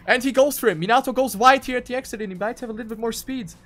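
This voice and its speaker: A man's voice: extremely high pitch, very fast, fairly bright tone, faint music behind. A man commentating live on a racing game, calling the action play by play and repeating phrases for emphasis. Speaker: esports race commentator.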